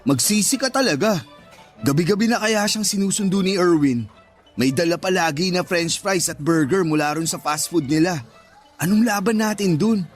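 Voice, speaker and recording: A male actor plays a frank young best friend with a high-pitched voice. He teases and scolds bluntly in radio-drama style, with music faintly underneath. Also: moderate pace, natural voice. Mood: scolding. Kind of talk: Tagalog radio drama acting.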